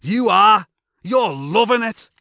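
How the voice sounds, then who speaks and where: A man's voice: sits high, lively intonation, slow pace, vocal fry, pushed and bright, no room sound, clean studio sound, pitched above the performer's own voice, exaggerated voice. A man voicing an aggrieved, sulky working-class bloke, blunt and complaining, in a story reading. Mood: accusing.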